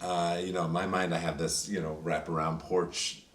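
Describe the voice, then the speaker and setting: A man's voice: deep voice, flat delivery, moderate pace. A male cast member talking enthusiastically at a roundtable, rambling with lots of fillers. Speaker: voice actor in the cast. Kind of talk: casual roundtable chat. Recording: echoey room, distant mic, faint noise.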